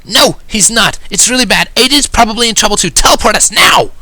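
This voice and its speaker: Male narrator as brash young female cartoon pony, high-pitched, sharp, urgent, blurting, panicked storybook dialogue. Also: lively intonation, fairly quick, no echo, heavily acted. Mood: urgent, alarmed.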